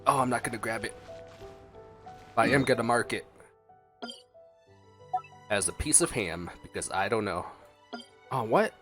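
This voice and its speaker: A man's voice: mid-range voice, a little slow, long pauses, a bit bright. A man giving casual, jokey reaction commentary as a gaming streamer. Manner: jokey, playful. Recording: game music behind the voice.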